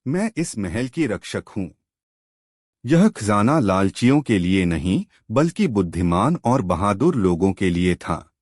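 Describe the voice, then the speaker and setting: Man voicing a slow, commanding treasure-guarding witch. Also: fairly low pitch, very animated, darkish tone, no room sound, clean studio sound. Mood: solemn, approving. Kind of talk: children's storybook character voice.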